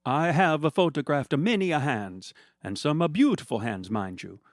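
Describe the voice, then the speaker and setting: A man doing a spry old photographer with a hammy Italian accent. He growls his words slowly, and his voice bounces up and down. The recording is dry and close. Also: a little high, animated, no room sound, voice pushed up. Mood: admiring. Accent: put-on stereotyped Italian. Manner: gruff, slow, admiring.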